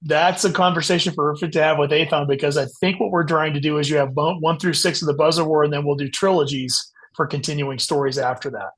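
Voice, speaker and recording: A man's voice: on the high side, steady tone, quick pace, few pauses, a bit bright, breathy edge. A man speaking as a guest author in a casual interview, explaining things in a conversational way.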